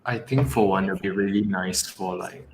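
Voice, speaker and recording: A man's voice: sits low, fairly even intonation, moderate pace, vocal fry, a little mellow, a little hiss or hum. A man from Singapore, a poet, chatting casually on an online panel, rambling with many fillers and frequent laughs. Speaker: poet guest.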